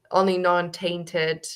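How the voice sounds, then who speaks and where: A woman's voice: on the low side, flat delivery, extremely slow, clean tone. A woman co-hosting a book club discussion over a video call, talking casually and thinking aloud with lots of fillers.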